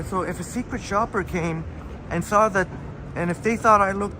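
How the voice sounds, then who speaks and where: High-voiced man livestreaming on the street. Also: bright, forceful tone, a little hiss or hum. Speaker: livestream host. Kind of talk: casual livestream chat with viewers, reading comments aloud. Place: outdoors on downtown city street at night, walking.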